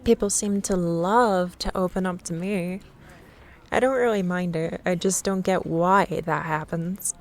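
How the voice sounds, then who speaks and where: A young woman in a scripted ASMR roleplay, playing a shy, nervous stranger who rambles and laughs nervously in a soft voice. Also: on the low side, fairly even intonation, unhurried.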